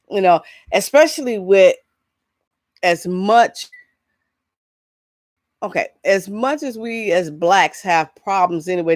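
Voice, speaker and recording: A woman with a lowish voice talks casually as a vlog host. She gives blunt opinions in a rambling, stop-and-start way, often breaking off into asides. The sound is dry and close, with very little room to it. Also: dry recording. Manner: halting, rambling, interrupts herself with asides; blunt, incredulous rhetorical questions. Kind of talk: casual opinionated entertainment news commentary.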